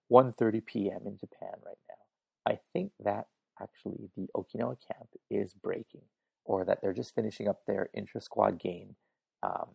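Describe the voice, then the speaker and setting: A man hosting a casual solo podcast, hoarse and raspy, chatting informally and thinking aloud on a makeshift mic setup. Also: fairly low pitch, a little mellow, dry recording. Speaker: podcast host. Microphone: makeshift setup without proper recording gear.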